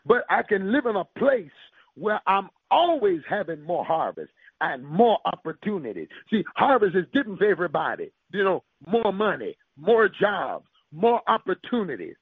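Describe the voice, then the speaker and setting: A man's voice: sits high, sing-song, moderate pace, husky, dry recording. A man preaching a sermon with emphatic call-and-response and scripture quotations. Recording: tinny phone-like radio broadcast.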